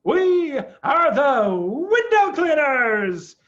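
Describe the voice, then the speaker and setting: A cheerful, boastful little storybook monkey , played by a man chants in a bouncy singsong. The voice jumps around in pitch over slightly dull, muffled sound. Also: sing-song, extremely slow, a bit bright, heavily acted. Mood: cheerful, singing. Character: skinny cheerful boastful singing monkey window cleaner.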